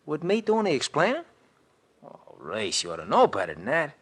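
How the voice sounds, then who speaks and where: Brash, lively wisecracking sidekick. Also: male voice, a little high, moderate pace, husky, exaggerated voice. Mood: teasing, needling. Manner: brash, colloquial. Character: slangy, wisecracking male sidekick of a detective. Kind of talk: 1940s radio acting.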